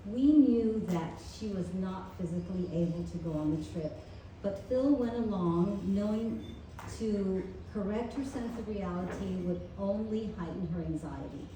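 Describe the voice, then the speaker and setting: A woman reading a prepared eulogy at a memorial, measured and tender. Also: mid-range voice. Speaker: family member giving a eulogy. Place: memorial gathering in a large room. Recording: echoey room, distant mic, faint noise.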